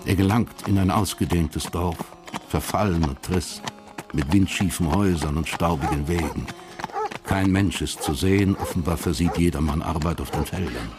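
A man narrating a radio crime drama in a measured, literary storytelling manner. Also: low-pitched, over music.

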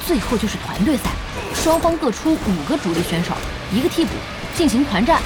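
A woman playing an excitable, impatient young e-sports fan in an audio drama, chatty and exclamatory. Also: fairly high pitch, brisk, music in the background. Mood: excited, explaining.

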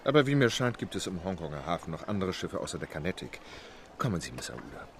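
A calm, precise English gentleman. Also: low voice, fast, a little hiss or hum. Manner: calm, clipped, formal. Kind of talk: radio play acting.

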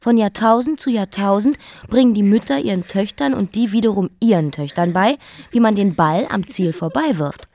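A woman's voice: medium pitch, normal speed, warm tone, lightly acted. An actress playing a defiant young queen who was raised as a boy, narrating her life in a radio play monologue. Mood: indignant.

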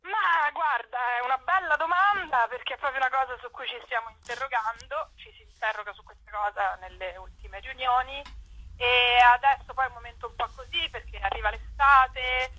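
A woman's voice: high voice, moderate pace, pushed and bright, muffled recording. A woman, chatting casually as an interview guest, hesitant and often trailing off.

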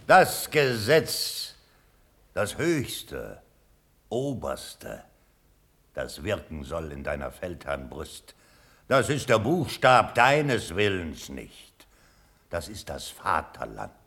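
Impassioned old colonel, wide-swinging delivery. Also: male voice, sits low, huge pitch swings, slow pace, gravelly, exaggerated voice. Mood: grave, pleading. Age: older. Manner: declamatory, impassioned, swinging from hushed to forceful. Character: old loyal cavalry colonel, bluntly passionate. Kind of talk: classical radio drama verse acting.

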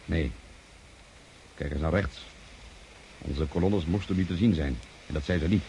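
A man's voice: sits low, normal speed, mellow, a little hiss or hum, natural voice. A man playing a wary, commanding cavalry general in a radio play, curt and brooding as he gives orders. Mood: suspicious, brooding.

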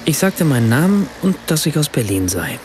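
A wry German writer. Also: male voice, fairly high pitch, big pitch swings, a little fast, a little hiss or hum. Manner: ironic, reflective. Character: German writer from Berlin, wry, self-conscious narrator. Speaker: narrator. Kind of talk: scripted radio drama narration.

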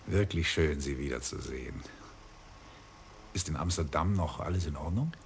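A sly nightclub doorman with a very deep, gravelly voice. He talks in a chatty, gossipy way, full of tips passed on in confidence, in a radio crime play with a faint hiss behind him. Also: normal speed. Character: sly male club doorman, old acquaintance of police.

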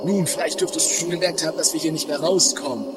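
A man's voice: a little high, fairly even intonation, fast talker, very noisy, close to an everyday voice. A man playing a confident, eccentric spaceship adventurer, theatrical, in a trashy amateur comedy radio play. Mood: confident scheming. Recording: loud music over 1980s radio play.

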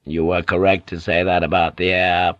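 A man voicing a powerful older warlord who gives curt orders in a flat voice. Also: sits low, unhurried, no echo, highs cut off. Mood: flat commanding. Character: powerful older male warlord, calm and commanding.

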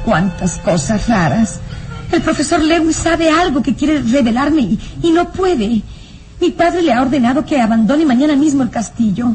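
An actress playing a fretful young noblewoman in a melodramatic radio serial, talking to herself with troubled, halting sighs. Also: sits high, lively intonation, darkish tone, faint music behind, rough recording. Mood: anguished. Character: anxious young noblewoman, sheltered, fretful daughter of a baron.

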